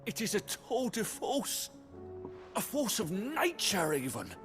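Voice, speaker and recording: An actor playing a florid, excitable male gourmand who declaims pompously in a fantasy video game. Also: sits high, takes it slowly, faint music behind, exaggerated voice. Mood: rapturous. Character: grandiloquent, excitable male gourmand, theatrically enraptured by food.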